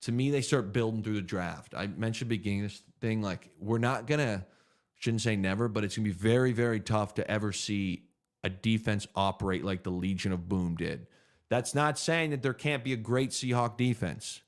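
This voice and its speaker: A man's voice: lowish voice, moderate pace. A man in his thirties hosting a casual livestream Q&A, laid-back and joking as he thinks aloud through viewer questions. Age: 30s. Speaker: podcast host answering viewer questions.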